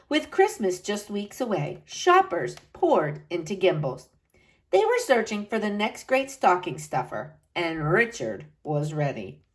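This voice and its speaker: A woman reading a picture book aloud to children, with slow dramatic emphasis. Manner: expressive, slow dramatic emphasis with wide pitch swings.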